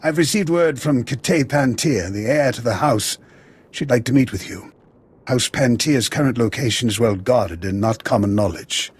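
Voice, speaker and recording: An actor playing a pragmatic, principled military officer in a video game, measured and earnest. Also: male voice, medium pitch, slightly rough, close to an everyday voice. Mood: earnest, businesslike.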